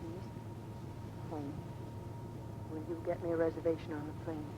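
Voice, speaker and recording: An actress plays a troubled wife of a naval skipper in an old radio drama. She speaks with earnest, halting emotion. The recording is noisy, with engine rumble behind her. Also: little pitch movement, close to an everyday voice. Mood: anguished, confessional. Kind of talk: vintage American radio drama acting.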